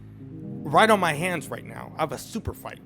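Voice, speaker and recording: A man giving a casual, animated opinion vlog and repeating phrases for emphasis, with music playing behind him. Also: fairly high pitch, normal speed. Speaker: sports commentary YouTuber.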